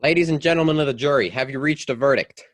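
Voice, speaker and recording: A man's voice: medium pitch, fast talker, very noisy, lightly acted. A man playing a stern, impatient judge in a radio play, with a formal, commanding manner.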